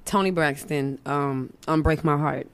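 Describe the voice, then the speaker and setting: A woman R&B singer as a podcast guest, talking in a candid, slangy way with a low voice and frequent restarts. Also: unhurried, clean tone. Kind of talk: casual interview chat. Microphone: studio mic.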